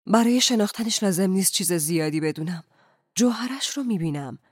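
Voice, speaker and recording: A woman voicing a middle-aged wife in a novel, soft, measured and quietly resolute. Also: medium pitch, expressive, slightly rough, no room sound, studio quality.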